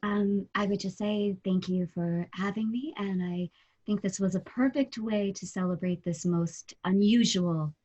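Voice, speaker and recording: A woman's voice: mid-range voice, steady tone, unhurried, mellow, highs cut off. A woman chatting casually as a guest actor on a live podcast. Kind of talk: casual group chat.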